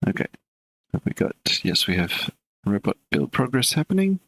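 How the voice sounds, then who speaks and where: A man's voice: medium pitch, moderate pace, vocal fry, no room sound, low-quality recording. A man streaming a live coding session, thinking aloud as he works and chatting casually with his viewers. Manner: relaxed, mutters while working, chats with viewers between code. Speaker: game developer streaming live.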